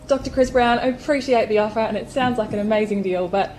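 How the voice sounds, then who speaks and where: A woman's voice: fairly high pitch, fast talker, a little mellow, a little hiss or hum, low-quality recording. A woman speaking informally and playfully as the bride in a mock wedding. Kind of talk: informal, playful vows and chat.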